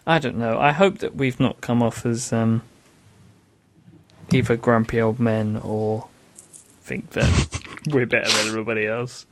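A man's voice: mid-range voice, steady tone, a little slow, slight background noise. A man co-hosting a podcast in casual chat, making dry, teasing remarks. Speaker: podcast co-host.

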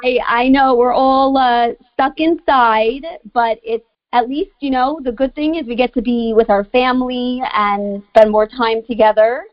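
A woman's voice: fairly high pitch, steady tone, measured pace, hardly any pauses, no room sound. A woman hosting an interview show, an encouraging and upbeat interviewer in a conversational style. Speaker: podcast host. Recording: dry, slightly muffled lo-fi audio.

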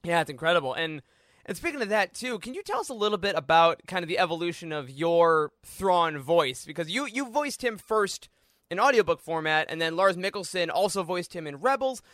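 A man's voice: high voice, big pitch swings, a little fast, a bit bright, no echo, clean studio sound. A man co-hosting a casual podcast interview in an agreeable, informal way. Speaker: podcast co-host.

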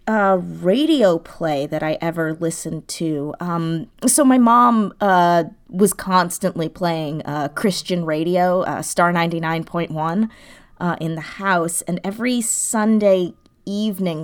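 A woman's voice: lowish voice, slow pace. A woman in her twenties, chatting casually as an interview guest in an enthusiastic, informal way. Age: 20s. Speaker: interview guest, audio drama creator.

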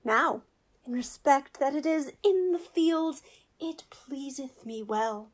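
A woman's voice: high-pitched, takes it slowly. A woman playing a witty, pompous male court fool who teases with mock logic in a Shakespearean radio play. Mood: wry.

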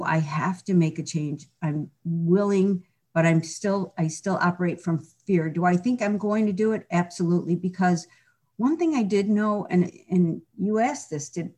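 Earnest low-voiced middle-aged woman. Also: moderate pace, darkish tone. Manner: earnest, reflective, with frequent restarts and "you know". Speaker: guest. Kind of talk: candid personal interview.

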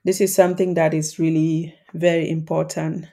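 A woman from Cameroon, hosting her own podcast as a coach and explaining family patterns in a calm, reflective way. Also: low-pitched, normal speed. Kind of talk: solo podcast talk.

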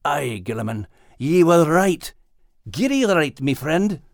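A man's voice: fairly high pitch, sing-song, slow pace, creaky, no echo, clean studio sound, full character voice. A man voicing a plucky, good-natured dog adventurer in an audiobook, with a Scottish accent and an earnest, folksy way of exclaiming. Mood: resolute.

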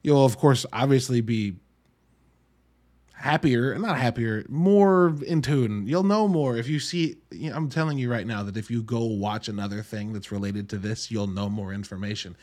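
A man hosting a podcast, giving an informal, wry solo review in an emphatic, halting way with drawn-out words. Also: medium pitch, darkish tone. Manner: informal, wry, mildly profane. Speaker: podcast host reviewing films. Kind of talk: casual solo review monologue.

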